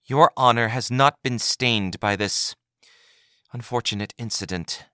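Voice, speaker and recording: Audiobook: solemn, gentle woman judge, voiced by a man, measured delivery. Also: fairly low pitch, steady tone, smooth, no echo, clean studio sound.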